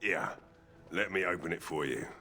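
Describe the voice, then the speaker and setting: A plain-spoken village man from a fantasy game, with a deep and very forceful, sharp voice. He talks in an offhand way, with faint music behind him. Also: lively intonation, moderate pace, vocal fry, full character voice. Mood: casual, guarded. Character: plain-spoken village man guarding his hidden stash. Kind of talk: video game dialogue acting.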